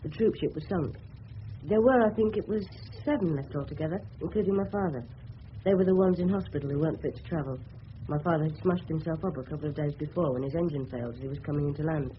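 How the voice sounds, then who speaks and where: An actor as a polite, higher-voiced English schoolboy. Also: male voice, fast talker, lightly acted. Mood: earnest, careful. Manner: polite, hesitant, well-spoken. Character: earnest, polite teenage English schoolboy son. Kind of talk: 1970s radio drama acting. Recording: muffled, noisy old radio broadcast recording.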